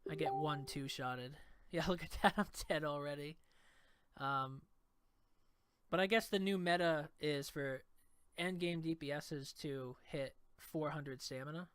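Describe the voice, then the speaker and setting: A man's voice: a little high, measured pace, a bit bright, clean tone, no echo, studio quality. A young man with a stuffed-up cold voice giving laid-back, rambling commentary over his gameplay. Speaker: gameplay video creator. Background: game music.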